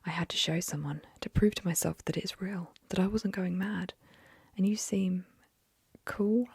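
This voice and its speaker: A young woman whispering an ASMR story reading into a close mic, softly, with gentle fillers. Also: fairly low pitch, brisk, creaky, slightly rough, no room sound. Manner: soft whisper, chatty with fillers after the story.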